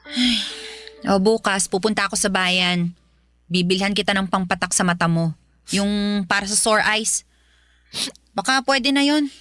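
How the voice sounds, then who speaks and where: A woman playing a cruel, harsh mother in a radio drama, scolding and yelling shrilly. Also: medium pitch, measured pace, long pauses, no room sound, natural voice. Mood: dismissive. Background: background music.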